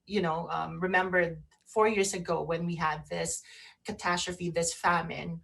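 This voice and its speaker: A woman co-hosting a casual radio chat, speaking haltingly and often repeating and rephrasing herself. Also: on the low side, flat delivery, gravelly, a bit bright. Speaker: radio show co-host. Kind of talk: casual talk show chat.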